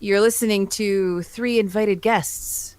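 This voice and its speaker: An American woman with a clear, clean voice and a middling pitch chats casually as a podcast co-host. She talks effusively, in the informal manner of a fan among friends. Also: fairly even intonation, takes it slowly, a little mellow. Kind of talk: casual chat about sci-fi fandom.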